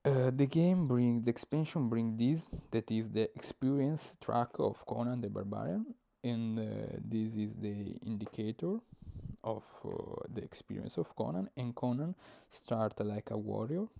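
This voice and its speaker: A man's voice: on the low side, gravelly, mellow. A young man with an Italian accent, explaining board game rules in hesitant English, often stopping to search for words. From Italy. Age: young adult. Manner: halting, searching for words, many fillers.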